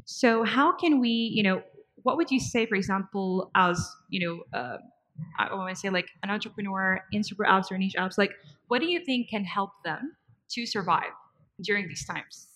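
A woman's voice: medium pitch, normal speed, a bit bright. Female moderator with loose, conversational phrasing. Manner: loose phrasing, frequent "you know" and "like". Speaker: panel moderator.

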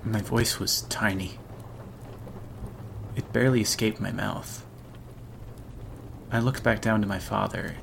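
A man's voice: on the low side, fairly even intonation, slow, vocal fry, long pauses, a little hiss or hum. A man narrating a horror story in a dramatic radio drama style. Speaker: narrator and actor.

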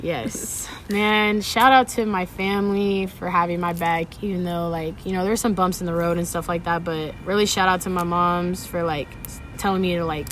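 Laid-back young woman, low voice, noisy. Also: fairly even intonation, normal speed, fairly bright tone. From Sacramento, California. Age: young adult. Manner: laid-back slangy talk, frequent laughs and fillers. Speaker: DJ guest. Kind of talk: casual interview chat.

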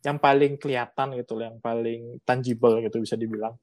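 A woman's voice: a bass voice, steady tone, fairly quick, a little mellow, no echo. A young woman from Bali, a student guest on a podcast, chatting casually with lots of fillers and laughter. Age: young adult. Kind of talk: casual chat.

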